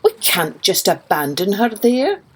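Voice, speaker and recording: A woman's voice: mid-range voice, wildly swooping pitch, unhurried, breathy edge, exaggerated voice. A woman voicing earnest Scottish fishermen in a children's story, urgent and emphatic. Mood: urgent, concerned.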